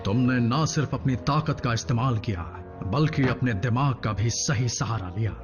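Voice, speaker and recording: A noble robot team leader from a cartoon dub played by a man, with faint music underneath. The voice sits at a middle pitch and speaks with measured, earnest authority. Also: lo-fi sound, close to an everyday voice. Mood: solemn, approving. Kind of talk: Hindi cartoon dub acting.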